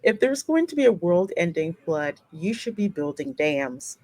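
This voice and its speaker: A woman hosting a gaming channel, reading game text aloud and adding expressive, informal commentary. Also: on the low side, unhurried.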